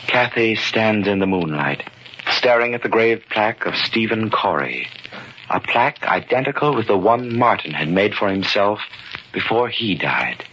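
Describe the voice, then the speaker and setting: An actor as the grave, ominous narrator of a 1940s radio mystery, reading scripted narration. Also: male voice, lowish voice, animated, normal speed, bright, forceful tone. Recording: dull old radio recording, faint noise.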